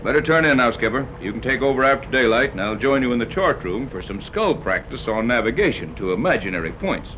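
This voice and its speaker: An actor playing a wry, skeptical sea captain in a 1930s radio serial, from a dull old recording. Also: male voice, medium pitch, lively intonation, sharp tone, slight background noise. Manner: dry, skeptical, brisk.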